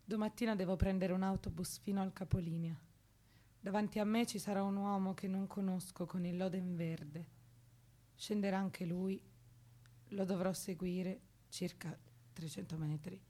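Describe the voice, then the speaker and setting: A woman's voice: medium pitch, fairly even intonation, moderate pace, dark tone, lightly acted. An actress in an Italian radio drama playing a troubled young woman, bitter and fragile, with an intense, brooding way of speaking. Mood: brooding, resolved. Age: young adult.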